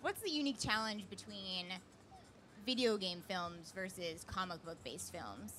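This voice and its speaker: A woman's voice: mid-range voice, lively intonation, a little slow, sharp tone. A woman co-hosting a casual live panel on a convention stage. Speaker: panel co-host. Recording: noisy live event room.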